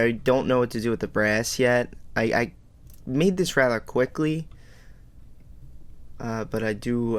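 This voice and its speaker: A man casually walking through his music production in a home studio, rambling and self-deprecating with lots of fillers. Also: medium pitch. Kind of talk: casual tutorial walkthrough. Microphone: studio microphone.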